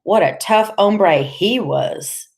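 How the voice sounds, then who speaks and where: Woman acting gruff, menacing streetwise tough guy. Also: mid-range voice, animated, slow pace, darkish tone, voice pushed up. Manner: curt, streetwise, slangy, commanding. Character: menacing, scowling tattooed tough man, gruff and bossy.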